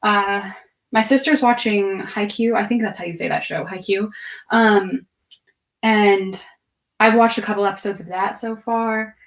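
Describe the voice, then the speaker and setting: A woman's voice: medium pitch, steady tone, a little slow. A woman in her twenties chatting casually on a livestream, rambling and half-distracted as she thinks aloud and answers viewers' questions. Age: 20s. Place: room at home with bookshelves.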